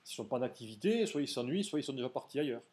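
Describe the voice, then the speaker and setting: A man's voice: medium pitch, animated, rapid-fire, darkish tone. A man giving a seminar lecture, explaining step by step and putting questions to his audience. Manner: didactic, addresses audience directly with questions.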